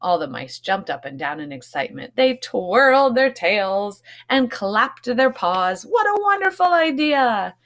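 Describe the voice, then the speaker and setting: Animated woman teacher reading aloud. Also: mid-range voice, a little slow, few pauses. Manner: expressive, explanatory asides. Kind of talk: storybook read-aloud for young children.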